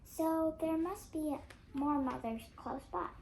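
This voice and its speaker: An eight-year-old child reading a storybook aloud, halting at times and stumbling over words. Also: high-pitched, vocal fry, darkish tone, slight background noise, rough recording. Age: child, 8 years old. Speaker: young reader on a read-aloud channel.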